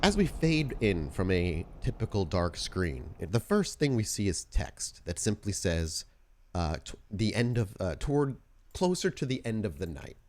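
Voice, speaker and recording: A man hosting and narrating a tabletop roleplaying podcast as storyteller, informal in chat and deliberate when setting scenes. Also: fairly low pitch, very animated, a little mellow. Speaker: podcast host and game storyteller.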